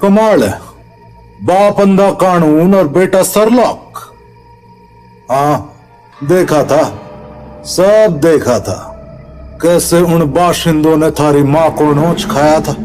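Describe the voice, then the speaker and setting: A man playing a cynical, rough-mannered villager in an audio drama, with a sneering, impatient manner and rural Haryanvi-Rajasthani Hindi accent. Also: on the high side, slow, pauses often, a little mellow, soft background music. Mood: ominous.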